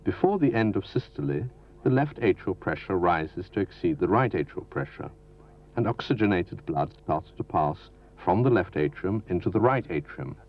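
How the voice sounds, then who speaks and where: Deep-voiced man narrating a dated training film. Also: lively intonation. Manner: formal, measured, didactic delivery. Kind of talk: scripted educational narration. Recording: dull lo-fi 1970s film soundtrack from videotape.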